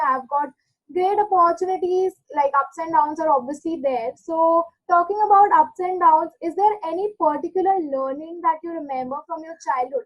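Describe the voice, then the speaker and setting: A woman's voice: sits high, rough recording. A young woman hosting an interview, agreeing readily and asking follow-up questions. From India. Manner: agreeable, encouraging, with short affirmations. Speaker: host. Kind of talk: interview host.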